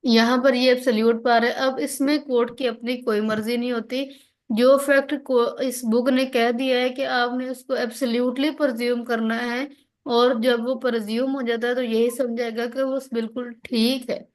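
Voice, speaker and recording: A woman's voice: fairly high pitch, flat delivery, moderate pace. A woman with a Pakistani accent giving an online law lecture, explaining step by step, reading out articles and checking that students follow. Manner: instructional, checks understanding often, mixes in English legal terms. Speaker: law exam teacher.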